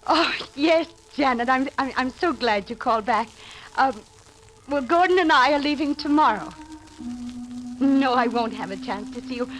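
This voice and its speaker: An actress playing a trusting, anxious, affectionate wife in an emotional, hesitant 1940s radio acting style. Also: female voice, sits high, normal speed, a bit bright, rough recording. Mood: excited, cheerful. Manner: emotional, hesitant, breaking off and repeating words. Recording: old radio recording, faint noise.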